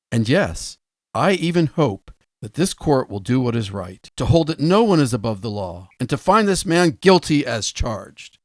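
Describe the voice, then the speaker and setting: An actor playing an earnest, principled prosecuting attorney in a radio courtroom drama, formal and solemn. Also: male voice, mid-range voice, dry recording, lightly acted.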